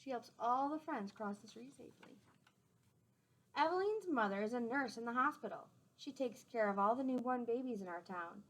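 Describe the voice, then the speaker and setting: A woman reading a picture book aloud to young children in an expressive, teacherly way, with simple explanatory asides. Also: on the high side, normal speed, rough recording.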